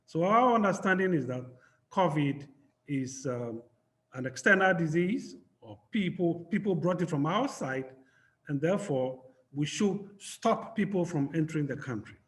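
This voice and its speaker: A man from Ghana with a Ghanaian accent, giving a measured, explanatory academic panel talk over a video call. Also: on the high side, animated. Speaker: panel speaker. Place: home in Ghana.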